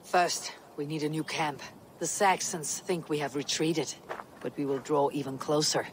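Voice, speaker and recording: A woman playing a proud, stoic Viking warrior leader in a video game, measured and resolute. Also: low voice, steady tone, a little hiss or hum, natural voice. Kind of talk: video game dramatic acting.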